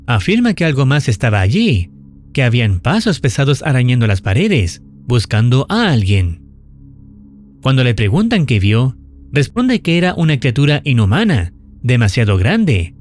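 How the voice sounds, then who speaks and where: A man giving a scripted horror recap narration in a measured, serious storytelling manner. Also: medium pitch, a little mellow.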